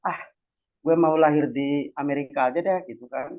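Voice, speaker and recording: A man's voice: a little high, normal speed. Tinny, phone-like man explaining casually. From Cilacap, Central Java, Indonesia. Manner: conversational, repeatedly adds checking tags while explaining. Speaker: academic discussion host and speaker. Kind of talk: informal explanatory lecture with casual slang. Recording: tinny phone-like online audio.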